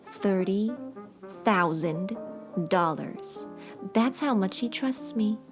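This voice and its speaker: A woman's voice: medium pitch, animated, slow pace, over music. A woman playing a tipsy, bitter, ditzy gangster's moll in an old-time radio comedy. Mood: boozy.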